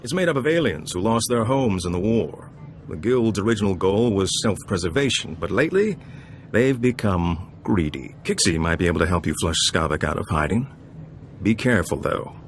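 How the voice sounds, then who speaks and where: Suave, low-voiced older gambler. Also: male voice, lively intonation, moderate pace, a little hiss or hum. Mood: smooth, measured. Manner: smooth-talking, self-satisfied, theatrical. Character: suave older male gambler and information broker. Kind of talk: video game dialogue acting.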